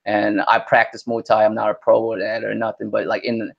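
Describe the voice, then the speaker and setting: A young man from Vietnam, a Vietnamese native speaker, chatting as an interview guest in an animated, slangy way. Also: on the low side, brisk, vocal fry, lo-fi sound. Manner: animated, slangy, lots of "you know what I mean". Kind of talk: casual interview chat.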